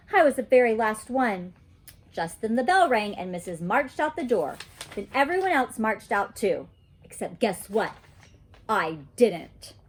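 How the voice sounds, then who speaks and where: A woman reading a children's story aloud in an animated, childlike storytelling manner. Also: mid-range voice, slight background noise.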